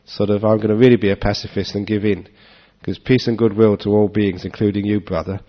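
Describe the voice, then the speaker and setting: A man giving a relaxed Dharma talk to an audience, joking and chuckling as he answers questions. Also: fairly low pitch, fairly quick. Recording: dull lo-fi talk recording.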